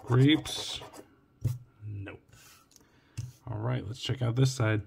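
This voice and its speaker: A young man with an Oregon accent murmurs through his scratch tickets at midnight. His tired voice has gone gravelly and cracks. A coin scrapes faintly on the table behind him. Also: extremely slow, a little hiss or hum. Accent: Oregon US English. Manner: reads symbols aloud in a low, tired murmur. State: tired, voice deeper and cracking late at night. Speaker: scratch-ticket channel host. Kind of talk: casual narration while scratching tickets. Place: at home, at a table at night. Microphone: phone or camera looking down at the table. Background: coin scratching and clicks.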